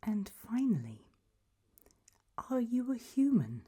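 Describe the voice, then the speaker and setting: A woman doing a whispered ASMR roleplay as an examiner, hushed and deliberate, pausing between short phrases. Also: on the high side, big pitch swings, breathy edge. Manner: slow, deliberate, softly instructive with long pauses between options.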